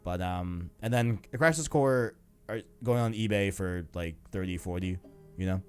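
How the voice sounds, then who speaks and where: Male gaming podcast host, slightly deep, informal and slangy, loose rambling delivery. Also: moderate pace, faint music behind. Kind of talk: casual gaming podcast chat.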